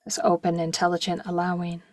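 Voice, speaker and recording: A woman leading a guided meditation slowly and gently in short, soft phrases, with a breathy voice that at times nears a whisper. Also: fairly low pitch, monotone, dry recording, studio quality. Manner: slow, gentle, with long pauses and short phrases.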